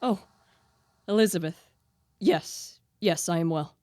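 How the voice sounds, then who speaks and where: A woman's voice: on the low side, unhurried, darkish tone, no echo, clean studio sound, close to an everyday voice. A young woman playing a tormented, guilt-ridden young man in a radio play, with earnest, formal literary diction. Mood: tender, uneasy. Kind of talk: student radio play acting.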